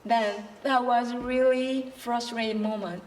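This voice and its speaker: A woman who is not a native English speaker talks slowly and carefully, restarting her sentences as she searches for words. Her voice sits a little high and comes from some distance off the mic. A faint hum of room noise lies beneath her. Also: steady tone. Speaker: panelist. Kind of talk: panel discussion answer.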